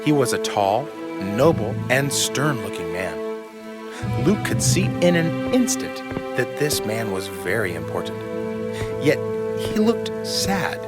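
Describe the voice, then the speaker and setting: A male narrator tells a dramatic tale for an audio drama. His mid-range voice is expressive and suspenseful, and prominent music plays behind it. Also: medium pitch. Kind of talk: dramatic scripted storytelling.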